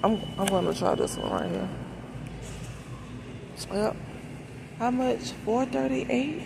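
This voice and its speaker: A woman vlogger talks casually as she walks through a supermarket, filming on a handheld phone. Her voice sits at a middle pitch with a gravelly fry, and she thinks out loud in a folksy, informal way over clear store noise. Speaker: vlogger narrating a shopping trip. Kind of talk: casual chat to camera. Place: inside a large supermarket, walking. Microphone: handheld phone behind the camera. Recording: noisy store background.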